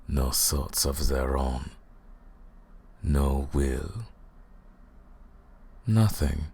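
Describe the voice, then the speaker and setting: Casting: arrogant vampire lord for ASMR roleplay, put-on foreign accent, extremely low and husky, cruel, theatrical taunting. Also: male voice, a bit bright, exaggerated voice. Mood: gloating, cold. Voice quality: gravelly, husky, breathy deep voice. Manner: slow, menacing, mocking, theatrical.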